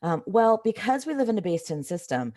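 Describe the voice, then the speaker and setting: A woman with a slightly raspy voice from a cold, teaching an informal live online math lesson in a conversational way, stressing key points. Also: medium pitch, big pitch swings, brisk, mellow. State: has a cold.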